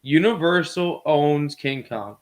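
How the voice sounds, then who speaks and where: A man's voice: on the high side, expressive, slow. A man co-hosting a casual movie chat, rambling informally and often searching for his words. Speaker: podcast co-host.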